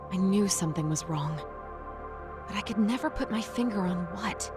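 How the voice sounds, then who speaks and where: A woman's voice: medium pitch, moderate pace, lightly acted. Earnest, strong-willed woman teacher, played by an actress. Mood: guarded, concerned. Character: earnest, strong-willed female homeroom teacher, skeptical and caring. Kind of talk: dramatic video game dialogue. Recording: music behind the voice.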